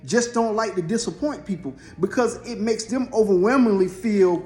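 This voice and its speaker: A man's voice: high voice, normal speed, a little mellow, soft background music. An American man co-hosting a casual advice talk, telling motivational analogies with emphatic, repeated phrasing. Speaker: co-host giving marriage advice. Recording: roomy echo.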